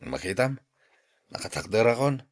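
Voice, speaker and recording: A man's voice: mid-range voice, very animated, moderate pace, no echo, studio quality, close to an everyday voice. A man with an Ilocano accent acting a stubborn, grumbling office worker recovering from a mild stroke in a radio drama.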